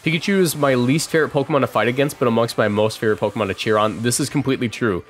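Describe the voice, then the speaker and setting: A man's voice: mid-range voice, racing. Chatty young male streamer over music. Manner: chatty, thinks out loud. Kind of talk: casual live game commentary.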